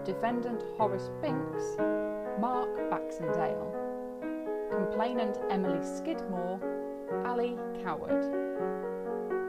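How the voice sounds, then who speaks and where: Slow-paced woman announcer over music. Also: medium pitch. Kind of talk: scripted radio credits narration. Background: piano or keyboard music.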